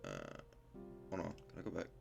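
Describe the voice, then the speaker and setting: A man's voice: fairly quick, darkish tone, soft background music. A young man hosting a gaming video, casually reading game text aloud and commenting in slangy, informal speech, stumbling over words as he reads.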